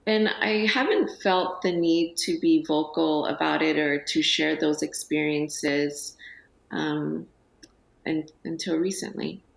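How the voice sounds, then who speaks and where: A woman in her forties, a guest on a TV talk show, speaking softly and hesitantly with many pauses and fillers. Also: low voice, fairly even intonation, gravelly, roomy sound, low-quality recording. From United States. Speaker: guest, business owner. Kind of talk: TV interview. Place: TV studio.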